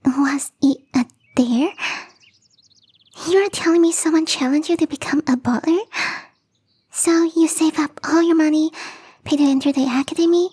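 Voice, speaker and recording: Woman acting a high, breathy, half-whispering catgirl gardener. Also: moderate pace, long pauses, mellow, lightly acted. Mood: curious, teasing. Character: shy, playful young catgirl gardener at a mansion. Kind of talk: ASMR roleplay, intimate one-sided dialogue.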